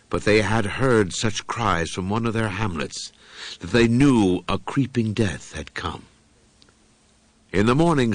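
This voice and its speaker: A man reading literary horror as an audiobook narrator, with a formal, measured, dramatic storytelling delivery. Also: on the low side, no echo.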